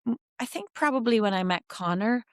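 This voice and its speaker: A woman's voice: on the high side, a little fast, no echo. Belfast irish woman, 50s, chatty and candid, lots of little quoted bits, dry close sound. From Northern Ireland. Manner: candid, animated storytelling with quoted asides. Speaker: guest. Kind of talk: reflective interview conversation.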